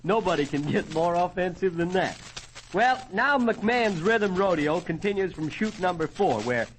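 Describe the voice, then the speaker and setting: A man's voice: high-pitched, animated, moderate pace. American man, old-time radio announcer, theatrical cowboy lingo, swooping delivery, faint background noise. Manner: folksy cowboy slang, theatrical showman. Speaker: radio show host and announcer. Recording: noisy old radio recording.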